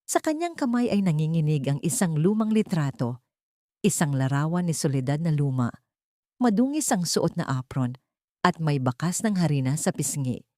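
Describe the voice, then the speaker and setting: A woman's voice: low-pitched, animated, moderate pace, a little mellow, no echo, studio quality. A woman reading a scripted dramatic story as its narrator.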